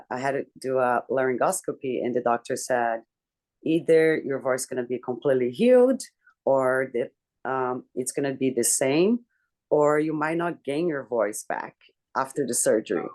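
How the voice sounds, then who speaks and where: Deep-voiced woman telling her story. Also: a little mellow. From Brazil. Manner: earnest, candid, reflective storytelling. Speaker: book club member. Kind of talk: personal story in a group discussion.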